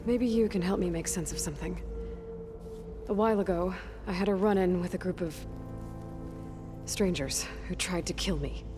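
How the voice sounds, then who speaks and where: A woman playing a determined, wary young hunter in a video game, asking earnest questions and haltingly thinking aloud. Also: lowish voice, over music, natural voice. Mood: questioning, focused. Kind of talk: naturalistic video game acting.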